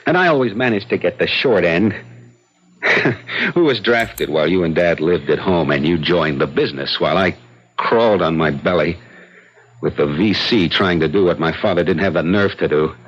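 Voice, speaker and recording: A deep-voiced, bitter, mocking twin. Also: male voice, animated, normal speed, a bit bright, slightly rough, exaggerated voice. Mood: resentful. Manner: sardonic, theatrical, flowery. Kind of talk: old-time radio drama acting. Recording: dull, lo-fi radio drama recording.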